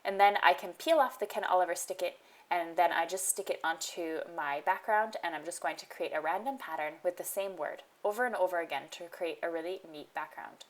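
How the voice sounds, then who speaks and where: A woman's voice: fairly low pitch, brisk. A woman hosting a card-making tutorial, talking casually through each step in a voice-over, sounding nasal from a cold she is getting over. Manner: chatty, explains each step, frequent ums.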